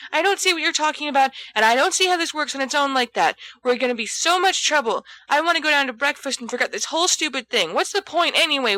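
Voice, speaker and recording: A woman's voice: high-pitched, sharp tone, dry recording, pitched above the performer's own voice, poor audio. A woman voicing a nervous, whiny teenage schoolboy who protests in a flustered, stammering rush in an audiobook story.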